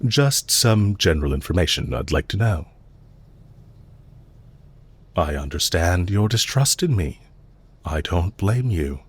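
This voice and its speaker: Male actor as calm villain leader, very low husky voice, slow measured delivery, formal, condescending, quietly menacing, audio roleplay. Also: heavily acted. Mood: calm, controlled. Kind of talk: audio roleplay, intimate scripted acting addressed to listener.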